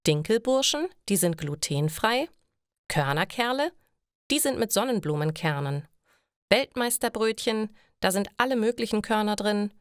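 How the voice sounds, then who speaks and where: Enthusiastic bakery saleswoman, read by a narrator, lifted pitch. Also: animated, normal speed, smooth, no room sound, clean studio sound, close to an everyday voice. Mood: eager, friendly. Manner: eager sales patter. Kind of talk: storybook dialogue in a language lesson.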